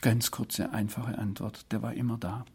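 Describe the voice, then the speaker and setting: A man from Swabia, giving earnest, deliberate interview answers. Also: lowish voice, level tone, darkish tone. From Swabia, Germany. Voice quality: husky. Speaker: interview guest.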